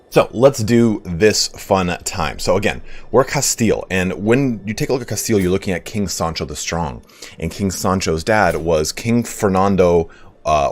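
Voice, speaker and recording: A man streaming a game while giving a casual, rambling history talk, hoarse today, with blunt profanity and shout-outs to donors. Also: deep voice. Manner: rambling, profane, reads donations aloud.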